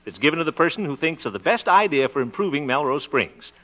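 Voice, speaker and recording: Blustery small-town showoff, voice jumps all over, muffled old radio comedy recording. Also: male voice, a little high, big pitch swings, quick pace, sharp tone, heavily acted. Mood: eager, boastful. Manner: hammy, theatrical, blustery. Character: excitable, boastful, good-natured grown son, small-town radio entertainer. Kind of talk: 1950s radio sitcom acting.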